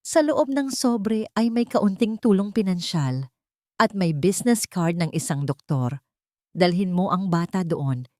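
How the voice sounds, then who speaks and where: Brief: woman reading a firm, cryptic man handing over a message, lowish voice, dry close sound. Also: animated, measured pace, darkish tone, no room sound. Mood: insistent. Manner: firm, insistent. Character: mysterious grave male messenger, terse.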